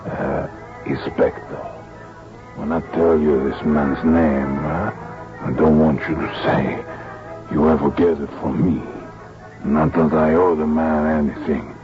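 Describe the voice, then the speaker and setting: An actor playing a chatty, cagey music hall boss in an old-time radio drama, talking in a halting way. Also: male voice, very deep voice, fairly even intonation. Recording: dull lo-fi old radio recording, music behind.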